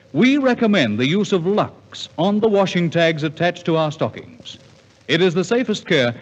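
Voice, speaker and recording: Male announcer, animated persuasive 1950s radio commercial, long reverb, muffled sound. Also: fairly high pitch. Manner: polished, persuasive.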